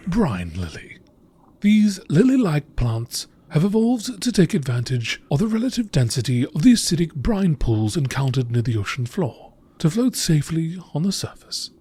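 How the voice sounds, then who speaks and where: A man reading scripted text aloud in a measured nature documentary style, as an amateur narrator. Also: fairly high pitch, dark tone, husky.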